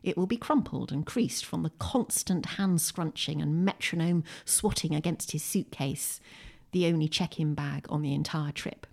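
Low-voiced, enthusiastic British woman. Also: normal speed, creaky, darkish tone. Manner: enthusiastic, emphatic. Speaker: guest writer, poet. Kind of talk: chatty book discussion with readings aloud. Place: a room in a home.

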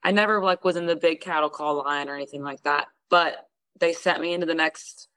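A young woman, a singer, tells a story in a casual interview. Her voice sits a little low for a woman, and the sound is dry and close. She tells the tale with energy and acts out the other people's lines. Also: fairly quick, clean tone, no echo. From Houston, Texas. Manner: lively storyteller who acts out what others said. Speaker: guest singer.

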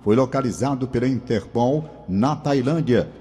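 A male radio news anchor with smooth announcer cadence. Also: mid-range voice, normal speed, warm tone, long reverb tail.

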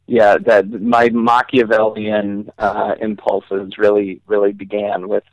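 A man's voice: lowish voice, completely flat delivery, slow, no room sound, lo-fi sound. A man, a podcast guest, telling humorous personal stories casually with wry, mock-serious wording. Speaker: interview guest, author.

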